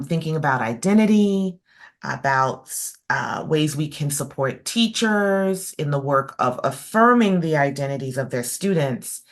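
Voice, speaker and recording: A woman hosting an interview, speaking in a measured, thoughtful way with frequent fillers over a video call. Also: lowish voice. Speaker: host.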